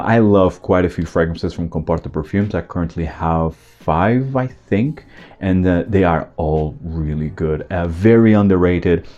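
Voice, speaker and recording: A man's voice: sits low, mellow, muffled recording. A man with a Portuguese accent giving a casual, enthusiastic video review as a channel host.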